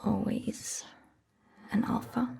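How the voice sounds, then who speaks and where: A woman softly whispering an ASMR roleplay as a calm, caring vampire doctor, gentle and hushed with pauses, close to the microphone. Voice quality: soft, breathy whisper.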